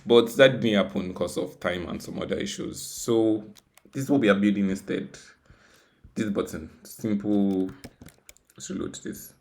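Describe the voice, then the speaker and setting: A man's voice: on the low side, normal speed, a little mellow, a little hiss or hum. A man giving a casual, unscripted coding walkthrough as tutorial host, rambling, self-deprecating and repeating words and phrases. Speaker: tutorial host, software developer.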